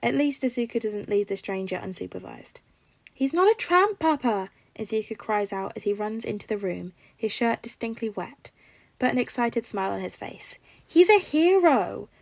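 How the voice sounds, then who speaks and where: A woman's voice: medium pitch, gravelly, darkish tone, no room sound. A woman reading a scripted story aloud as a fiction narrator. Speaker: podfic narrator.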